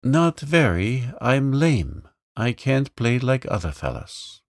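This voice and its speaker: A man's voice: medium pitch, very animated, takes it slowly, dry recording, studio quality, pitched above the performer's own voice. A man reading aloud, voicing a meek, poor young boy who is lame and speaks in humble, plain slang. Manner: humble, plain-spoken, says "ain't"-style slang.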